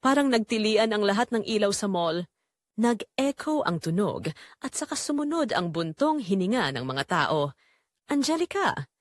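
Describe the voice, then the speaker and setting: A woman's voice: mid-range voice, sing-song, normal speed, no room sound, clean studio sound. A woman reading a scripted first-person drama narration in an emotive, dramatic way.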